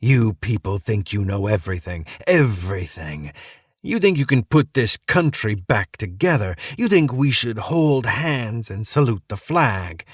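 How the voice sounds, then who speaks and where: A man's voice: fairly low pitch, animated, unhurried, husky, dry recording, studio quality. A man voicing an unhinged male cult preacher who swings between gleeful mockery and menace. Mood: menacing. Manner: manic, cackling, mocking sing-song.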